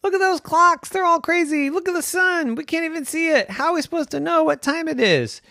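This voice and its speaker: A man putting on frantic high-pitched schoolkids. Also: brisk, vocal fry, pushed and bright, no echo, studio quality, full character voice. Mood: panicked. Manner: pleading, frantic. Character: panicking crowd of schoolchildren.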